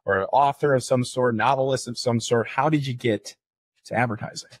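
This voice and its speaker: A man's voice: mid-range voice, fairly quick, no echo. Young man, dry close sound. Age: young adult. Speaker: podcast co-host. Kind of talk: casual interviewing. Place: small indoor room, on a couch. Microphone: handheld microphone.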